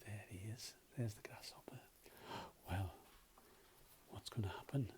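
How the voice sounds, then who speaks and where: Children's storyteller. Mellow, unhurried, expressive, playful questions and hushed moments. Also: sits low, in a whisper. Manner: animated, dramatic, often drops to hushed tones. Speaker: volunteer storyteller. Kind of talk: expressive read-aloud of a children's picture book, with questions to the listener.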